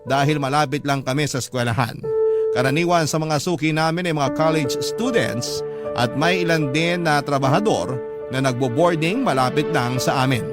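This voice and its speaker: A man's voice: a little high, moderate pace. A man with a Filipino accent reading a first-person letter as dramatic, sentimental scripted narration. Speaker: radio storyteller reading a letter. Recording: music playing behind the narration.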